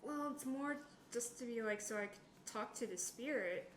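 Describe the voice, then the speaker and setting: A woman's voice: a little high, moderate pace. A woman chatting casually as a player in a tabletop role-playing game. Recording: echoey room with background noise.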